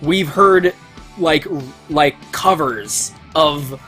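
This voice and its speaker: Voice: medium pitch, drawn-out words, fairly bright tone, faint music behind. A co-host of a gaming video, chatting casually, swearing often and ranting with heat about art and culture. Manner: swears a lot, rants with heat. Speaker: let's play co-host. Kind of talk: casual chat while gaming.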